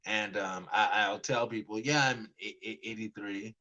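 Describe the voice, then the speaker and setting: A man's voice: lowish voice, normal speed, bright, forceful tone, dull audio. A man of about forty telling a story in a casual monologue, rambling and restarting his sentences often, and acting out both sides of conversations. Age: 40s. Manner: rambling, many restarts and stammered repeats. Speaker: vlogger.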